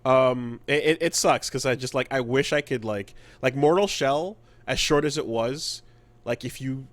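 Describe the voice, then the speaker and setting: A man with a medium-pitched voice chats casually as a podcast co-host. He thinks aloud in a halting way, doubling back on words as he talks about games. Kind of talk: casual gaming podcast chat.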